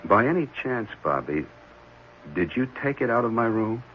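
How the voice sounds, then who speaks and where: An actor playing a gentle, earnest married foster father in a 1970s radio drama, speaking hesitantly and reassuringly. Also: medium pitch, sing-song, normal speed, close to an everyday voice. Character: gentle, caring married man fostering an orphan boy. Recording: muffled, noisy old radio broadcast.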